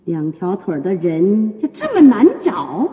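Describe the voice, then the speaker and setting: A woman playing a haughty, jealous, scheming imperial consort in a period radio drama, with drawn-out theatrical delivery. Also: medium pitch, sing-song. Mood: scornful, angry. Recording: muffled phone-like band, echoing hall reverb.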